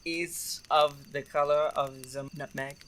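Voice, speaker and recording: A young man acting a loyal, courtly French duke in a Shakespeare radio play, measured and mildly weary. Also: a little high, little pitch movement, a bit bright. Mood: courtly, impatient.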